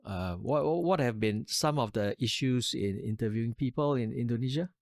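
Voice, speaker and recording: A man's voice: mid-range voice, moderate pace, no room sound, clean studio sound. A man hosting a podcast interview, asking questions hesitantly with many fillers. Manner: soft backchannel murmurs, hesitant questions. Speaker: podcast host.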